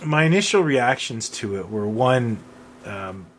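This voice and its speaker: A man's voice: medium pitch, animated, unhurried, fairly bright tone. A middle-aged man co-hosting a podcast in a casual, conversational way. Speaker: podcast co-host. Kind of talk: casual chat. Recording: faint background noise.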